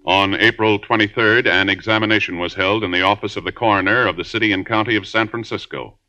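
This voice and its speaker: A male radio announcer reading closing credits and case results in a measured, formal broadcast manner. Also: fairly low pitch, steady tone, sharp tone. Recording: dull 1950s radio transcription with music.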